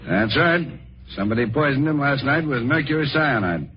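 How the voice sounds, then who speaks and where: An actor playing a brash, tough police detective with a slangy, blunt old-time radio delivery. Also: male voice, mid-range voice, expressive, normal speed, lightly acted. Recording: muffled, noisy vintage radio recording.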